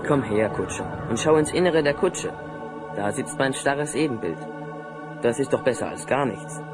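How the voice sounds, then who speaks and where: A male actor as an obliging apprentice wizard in an audio play. He has a medium-pitched voice and a composed, faintly theatrical delivery, with light music. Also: animated, normal speed, close to an everyday voice. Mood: thoughtful. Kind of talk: German audio drama acting.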